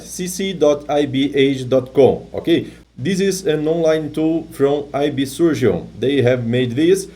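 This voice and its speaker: Man, English, mellow voice, walks through a tech tutorial, keeps asking "okay?". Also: mid-range voice. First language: Portuguese. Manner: halting non-native English, tags sentences with "okay?". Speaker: software tutorial presenter. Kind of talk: screen-recorded tech tutorial.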